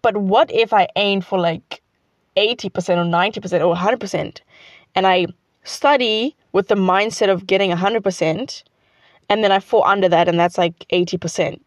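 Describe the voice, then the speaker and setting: A woman's voice: medium pitch, normal speed, dry recording, clean studio sound. A teenage girl from South Africa with a South African accent, Xhosa her first language, chatting casually in a rambling vlog full of "like". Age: teens. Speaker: student vlogger.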